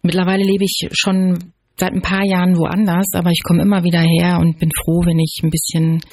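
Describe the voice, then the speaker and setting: Creaky-voiced woman introducing herself. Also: lowish voice, completely flat delivery, fairly quick, a little mellow. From northern German coast. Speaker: radio guest. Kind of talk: informal self-introduction.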